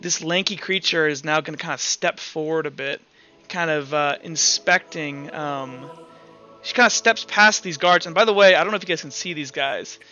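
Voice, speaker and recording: Bright-voiced man running a tabletop game. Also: a little high, dull audio. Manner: casual, halting, animated storytelling. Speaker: game master. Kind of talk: improvised tabletop game narration. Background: faint music.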